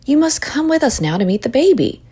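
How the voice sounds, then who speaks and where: A woman voicing a humble, earnest shepherd father with gentle excitement in a storybook. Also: high-pitched, fairly quick, breathy edge, voice pushed up, exaggerated voice. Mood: excited.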